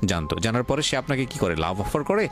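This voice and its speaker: A man with a Bangladeshi accent hosting a radio talk show, questioning deliberately and mixing in English words. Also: on the low side, sing-song. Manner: deliberate, mixes English words into his speech. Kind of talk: probing interview, addressing guest and listeners. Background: music.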